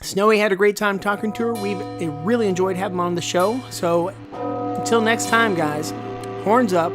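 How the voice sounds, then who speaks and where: A woman's voice: mid-range voice, moderate pace. Woman signing off over music. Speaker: podcast host. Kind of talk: show outro.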